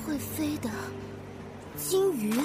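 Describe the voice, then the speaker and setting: A woman's voice: sits high, lively intonation, slow. A woman playing a curious, fearless young child in a radio drama, speaking with hesitant, childlike wonder. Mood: awed, curious. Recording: music under the voice.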